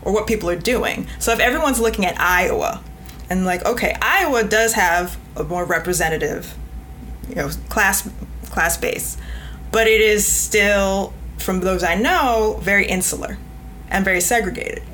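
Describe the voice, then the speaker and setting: A woman talks as a podcast guest in an unscripted panel discussion. Her voice sits at an average pitch and she makes her points emphatically. There is some faint ambient noise behind her. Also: normal speed, fairly bright tone, poor audio. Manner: emphatic, voices imagined replies as quotes. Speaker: podcast guest, literary editor. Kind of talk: panel interview talk.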